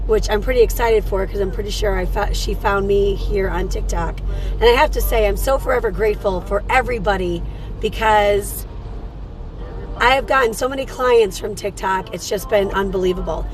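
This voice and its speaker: A woman with a hoarse voice hosting a casual live morning chat. She reads cards for people, talks chattily and calls her viewers sweetheart. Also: medium pitch, moderate pace, soft background music, rough recording. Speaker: host and card reader.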